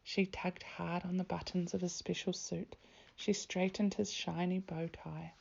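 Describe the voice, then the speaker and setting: A woman reading a children's storybook aloud as a teacher, with expressive narration. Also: on the low side, a little slow, gravelly, slightly rough, highs cut off.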